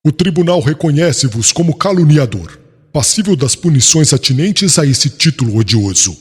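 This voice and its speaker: A man's voice: fairly high pitch, animated, moderate pace, husky. Man acting a proud, harsh accuser in a reverberant hall. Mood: harsh, accusing. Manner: stern, pompous, formal courtroom speech. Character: proud, angry young doctor of the law, harsh accuser. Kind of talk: dramatised audiobook acting. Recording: long hall reverb, music underneath.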